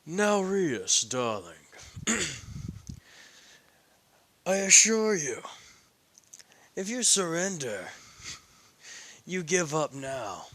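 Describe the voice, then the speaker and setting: A man's voice: a little high, a bit bright, pitched above the performer's own voice, exaggerated voice. A man voicing an arrogant young nobleman, drawling and condescending to his rival in a fan-read story.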